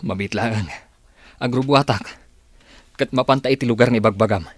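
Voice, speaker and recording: A man's voice: mid-range voice, normal speed, no room sound, studio quality, close to an everyday voice. Actor as skeptical, grudging radio drama man. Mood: resigned. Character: doubtful but obliging man joining a search.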